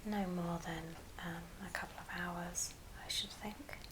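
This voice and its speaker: A woman whispering softly and unhurriedly through an ASMR craft tutorial, leaving pauses between short phrases. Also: lowish voice, steady tone, background noise. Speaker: ASMR craft video host.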